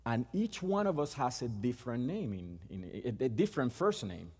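A middle-aged Puerto Rican man whose first language is Spanish gives a sermon in a Puerto Rican accent. He talks haltingly, with frequent restarts and fillers, at a middle pitch. His voice rings out with a long reverb, as in a big hall. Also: sing-song, a little mellow, breathy edge. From Puerto Rico. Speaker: preacher.

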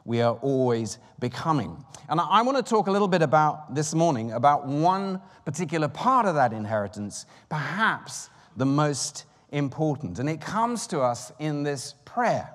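A man's voice: on the high side, very animated, normal speed, hardly any pauses, in a large hall. A man in his fifties preaching a sermon in a chatty, self-deprecating way, with rambling asides. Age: 50s.

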